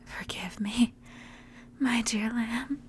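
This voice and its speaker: A woman voicing a seductive, predatory, ancient vampire in a breathy, intimate whispered ASMR roleplay. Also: on the high side, steady tone, a little hiss or hum. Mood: hungry, tender. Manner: slow, intimate, menacing endearments.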